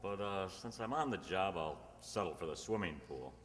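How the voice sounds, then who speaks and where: A man's voice: deep voice, animated, moderate pace. A man playing a laconic, reluctant writer of thirty in a radio play, understated and wry. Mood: wry, awkward. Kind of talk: radio play acting of a 1940s screwball comedy. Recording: reverberant hall stage recording.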